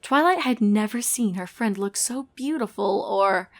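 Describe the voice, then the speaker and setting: Woman reading a funny story out loud, narrator style, normal mid pitch. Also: expressive, measured pace, heavy background noise. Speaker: fan fiction narrator. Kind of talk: scripted comic story narration.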